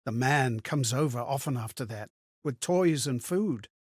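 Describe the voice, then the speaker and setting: A man playing an anxious, amnesiac wanderer in a video game, delivering theatrical monologues in a measured, dramatic, husky voice full of wonder. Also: mid-range voice, animated, dry recording, clean studio sound. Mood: grave, haunted. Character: anxious, amnesiac male wanderer reliving strangers' memories.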